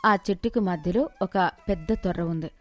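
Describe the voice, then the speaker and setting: A woman's voice: lowish voice, normal speed, soft background music. A woman giving scripted narration of a moral story as its storyteller.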